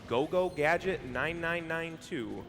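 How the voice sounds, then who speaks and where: A man hosting a live stream and reading out donation names and amounts in a steady announcer manner. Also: fairly high pitch, slow, creaky. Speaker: event host reading donations. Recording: game music behind voice.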